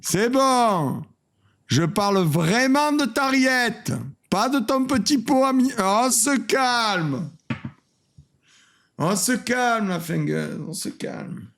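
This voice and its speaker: A man's voice: very high voice, lively intonation, slow pace, a bit bright. A French man streaming a reaction video in a casual, mocking way, laughing often and repeating lines.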